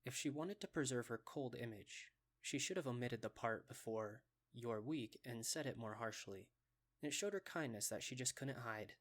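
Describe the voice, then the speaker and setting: A man's voice: mid-range voice, normal speed. A man narrating a scripted fantasy story for an anime fan dub.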